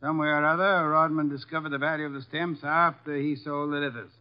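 An actor playing a fat, shrewd, commanding middle-aged detective with formal, precise diction in a 1950s radio drama. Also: male voice, on the high side, fairly even intonation, a little fast, clear, resonant tone, natural voice. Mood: satisfied, explanatory. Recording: dull, lo-fi vintage radio recording.

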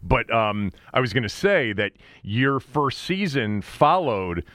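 A man's voice: mid-range voice, very animated, slow pace, fairly bright tone, no echo. A middle-aged man hosting a sports radio show, talking and interviewing in a casual, conversational way.

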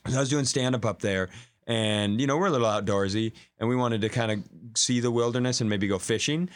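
A man's voice: mid-range voice, a little fast. A man co-hosting a comedy podcast, telling stories in a casual, incredulous, profane way with riffing asides. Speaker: stand-up comedian. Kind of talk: casual comedic storytelling and banter.

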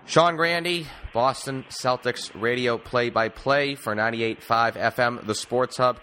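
A man's voice: mid-range voice, sharp tone, slight background noise, poor audio. A man hosting a sports talk podcast in an emphatic, wordy announcer style. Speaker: podcast host. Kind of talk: sports talk interviewing and show sign-off. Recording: music bed under the voice. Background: music.

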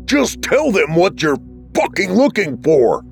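A man's voice: fairly low pitch, animated, unhurried, soft background music. A man voicing a weary, short-tempered father in a story. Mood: furious.

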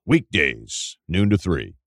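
A man with a deep voice hosting a podcast, telling rambling stories in casual chat and laughing at his own anecdotes. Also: big pitch swings, takes it slowly, vocal fry, no echo, clean studio sound. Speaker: podcast host.

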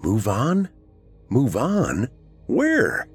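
A man's voice: deep voice, sing-song, slow, faint music behind, heavily acted. A man voicing the wistful, frustrated ghost of a middle-aged man, plaintive, in an audiobook. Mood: upset.

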